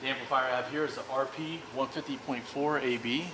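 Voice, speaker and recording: A man's voice: medium pitch, steady tone, brisk, background noise. A man giving an informal technical product demonstration. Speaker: product demonstrator for an audio brand.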